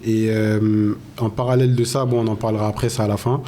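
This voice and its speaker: Male podcast host, casual and halting. Low, mellow voice, faint background noise. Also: flat delivery. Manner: casual, hesitant, many fillers. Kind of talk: podcast interview.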